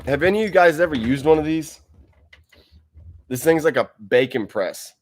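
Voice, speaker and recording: A man's voice: fairly high pitch, lively intonation, normal speed, darkish tone. A man hosting a casual livestream from a wide room at home, close to a desk mic, rambling and laughing as he reads viewer comments. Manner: laid-back, rambling, laughs often. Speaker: livestream host.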